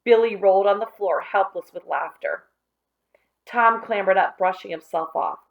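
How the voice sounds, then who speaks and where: A woman reading a children's story aloud, with dramatic, deliberate phrasing and expressive narration. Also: mid-range voice, gravelly, lo-fi sound.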